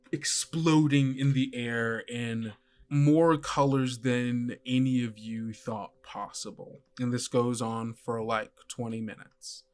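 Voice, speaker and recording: A man improvising descriptive narration as game master of a tabletop roleplaying game, in a halting way. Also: medium pitch.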